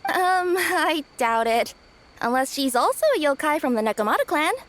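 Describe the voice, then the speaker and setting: A woman's voice: high-pitched, lively intonation, moderate pace, fairly bright tone, slight background noise. An actress voicing a friendly, easygoing cat yokai woman in a video game, speaking with hesitant fillers. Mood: musing.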